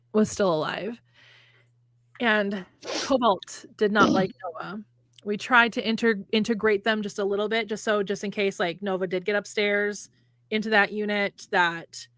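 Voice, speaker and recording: A woman's voice: mid-range voice, no room sound. An American woman, a podcast co-host, chatting casually and telling funny stories with frequent laughter. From United States. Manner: chatty storyteller, laughs often. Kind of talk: casual chat between friends.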